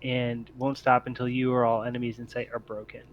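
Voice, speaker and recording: A man's voice: medium pitch, completely flat delivery, brisk, muffled recording, a little hiss or hum. A man acting as game master, explaining turns and rules of a tabletop roleplaying game in hesitant phrasing.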